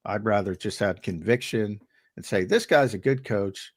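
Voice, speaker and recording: A man on a sports talk show, his voice a little hoarse and worn. He argues with emphasis and often stumbles into a point by repeating its first words. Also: on the low side, normal speed. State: losing his voice. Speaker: co-host and analyst.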